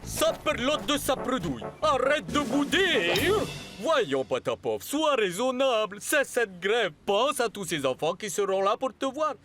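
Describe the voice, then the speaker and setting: A blustering cartoon lion tamer, high and wildly swooping in pitch. He is theatrical, mocking and easily exasperated, with music playing clearly behind him. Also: big pitch swings, unhurried, exaggerated voice. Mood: pleading, exasperated. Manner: theatrical, exasperated, hesitating when pleading. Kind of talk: French cartoon voice acting.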